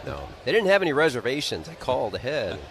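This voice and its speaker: A man's voice: medium pitch, huge pitch swings, fast talker, soft background music. A man trading casual, joking banter as a baseball radio broadcast partner. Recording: clear outdoor ballpark noise, slightly distant.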